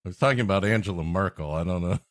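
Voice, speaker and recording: A man's voice: sits low, racing, no room sound, clean studio sound, soft background music. A man co-hosting a comedy podcast, riffing in a sarcastic, mock-exasperated way. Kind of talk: joking riffing on a story being read.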